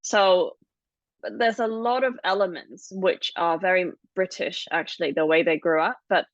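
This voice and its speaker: A woman's voice: on the low side, a little slow, no room sound, muffled recording. A woman in her thirties from Hong Kong, co-hosting a podcast and telling her family's story in a thoughtful, explanatory way. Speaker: podcast co-host. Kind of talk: casual podcast conversation.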